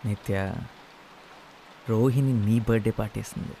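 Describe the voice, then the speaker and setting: An actor playing a lovesick young engineering student, candid and teasing, in naturalistic film dialogue with some English mixed in. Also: male voice, on the low side, normal speed, vocal fry, mellow, slight background noise. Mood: easygoing. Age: young adult. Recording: film soundtrack with music underneath.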